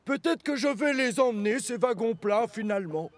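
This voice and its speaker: Modest cartoon crane engine, very high-pitched, lively intonation, gentle and hesitant. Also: male voice, moderate pace, dry recording. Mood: unsure. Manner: hesitant, good-natured, chuckling. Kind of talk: children's cartoon acting.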